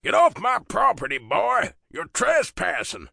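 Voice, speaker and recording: A man's voice: mid-range voice, wildly swooping pitch, measured pace, creaky, pushed and bright, no echo, clean studio sound, voice pushed up, heavily acted. Man acting out a biting, commanding older Southern father. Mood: furious. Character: stern, contemptuous older Southern father, wealthy and protective.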